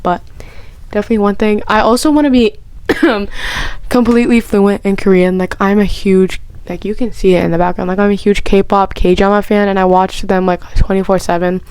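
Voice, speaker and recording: A woman talking straight to camera in a loose, chatty vlog, gushing and excitable. She rambles, restarts her sentences and leans on "like" all the way through. Her voice sits at an ordinary middle pitch. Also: moderate pace, smooth, dry recording. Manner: chatty, heavy use of "like". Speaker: vlogger answering personal questions. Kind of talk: casual chat.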